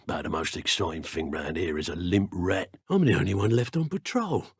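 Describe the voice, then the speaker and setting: A man playing a grumbling dark wizard henchman on guard in a video game, with a British accent, a raspy voice and dry, sardonic muttering. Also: deep voice, expressive, a little fast, no room sound, full character voice. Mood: bored grumbling. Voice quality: gravelly, raspy.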